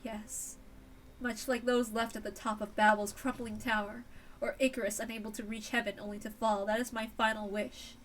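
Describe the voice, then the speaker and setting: A woman voicing a tormented, self-loathing female villain in a hushed, anguished, deliberate read-aloud of a visual novel. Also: on the high side, level tone, distant mic, slight background noise, lightly acted, poor audio. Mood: resigned pleading.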